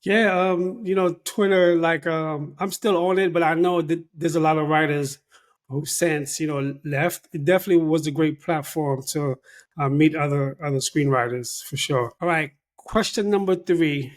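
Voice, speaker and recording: A man's voice: fairly high pitch, moderate pace. A man hosting a writing interview, asking questions hesitantly with many fillers. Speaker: podcast host.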